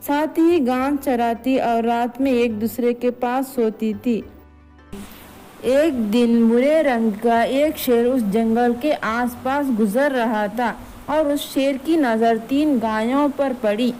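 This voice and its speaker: A woman's voice: on the high side, mellow, poor audio. A woman narrating a scripted moral story as a storyteller. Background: background music.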